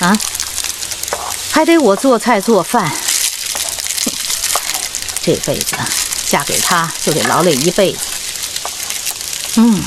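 An actress playing an older professor's wife in a Chinese radio drama, sighing and exclaiming as she affectionately grumbles. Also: mid-range voice. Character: professor's wife nearing retirement, fond grumbling homemaker. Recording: noisy, kitchen sizzle and stirring behind.

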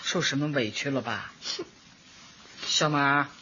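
A woman playing a kindly, plain-spoken community party secretary in a Chinese radio drama, motherly as she advises and gently scolds. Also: a bass voice, slow, slight background noise, exaggerated voice. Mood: chiding. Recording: muffled radio drama with music underneath.

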